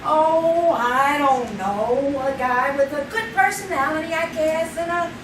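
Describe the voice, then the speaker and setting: Nosy chatty friend, woman in a live radio play; very high voice, slow delivery. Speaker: Rita, a friend. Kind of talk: live old-time radio drama. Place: small theater stage, live. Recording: echoey stage from far off, noisy.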